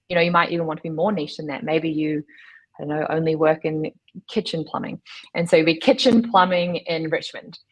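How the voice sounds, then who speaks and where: A woman, giving measured, instructive explanations as an interview guest. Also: deep voice, rough recording. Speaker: guest, digital agency chief executive.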